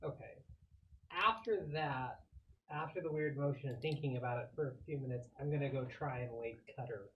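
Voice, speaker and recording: A man's voice: mid-range voice, moderate pace, highs cut off. A man playing a tabletop roleplaying game, talking casually and asking questions as a player.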